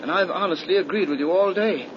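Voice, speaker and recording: A man's voice: sits high, fast, lightly acted. An actor playing a nervous, easily frightened young man in an earnest 1930s radio horror drama. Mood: uneasy, wondering. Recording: noisy, dull 1930s radio recording.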